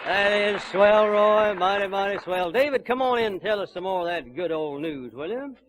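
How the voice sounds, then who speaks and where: A man's voice: high-pitched, normal speed, a bit bright. A man hosting a 1930s live country music radio show, folksy and exclamatory. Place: live show before an audience. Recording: noisy 1930s radio broadcast, crowd behind.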